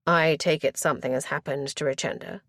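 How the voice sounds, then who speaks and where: A woman voicing a composed young aristocratic man who speaks quietly and tersely. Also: low-pitched, steady tone, a little fast, dry recording, clean studio sound, pitched below the performer's own voice. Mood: restrained. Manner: quiet, clipped, restrained.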